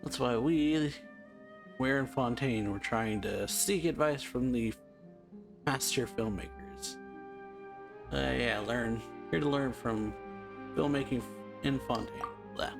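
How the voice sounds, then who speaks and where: A man doing a casual let's play, reading game dialogue aloud in a halting, offhand way. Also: medium pitch, vocal fry. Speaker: let's player. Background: game music.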